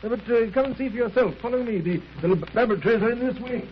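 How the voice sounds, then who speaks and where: An actor playing an anxious senior English hospital chief, fretful, urgent and stammering, in a 1930s radio drama. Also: male voice, extremely high pitch, rapid-fire. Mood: agitated. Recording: muffled, noisy 1930s radio recording.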